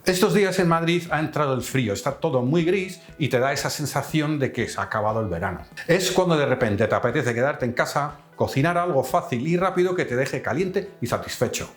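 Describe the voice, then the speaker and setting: Man, lively cooking narration. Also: a little high. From Netherlands. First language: Dutch. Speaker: cooking channel host. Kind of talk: cooking tutorial voice-over.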